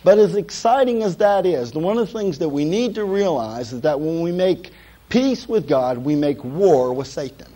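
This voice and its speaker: Hoarse preacher with a dark, mellow voice. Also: male voice, fairly high pitch, expressive, normal speed, low-quality recording. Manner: folksy, joking asides, many "uh" fillers. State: hoarse from a cold. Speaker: pastor. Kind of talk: sermon.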